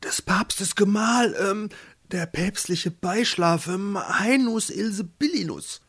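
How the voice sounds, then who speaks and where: A man's voice: sits high, slow, fairly bright tone, husky, voice pushed up, full character voice. A man voicing a servile, pompous papal maidservant in a fairy tale, formal and fumbling. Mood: officious. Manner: formal, fumbling over titles.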